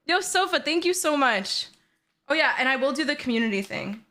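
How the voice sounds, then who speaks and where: A woman in her twenties chatting excitedly and bubbly on a livestream from her bedroom. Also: high voice, a little fast, slight background noise. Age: 20s. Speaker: streamer. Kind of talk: casual livestream chat.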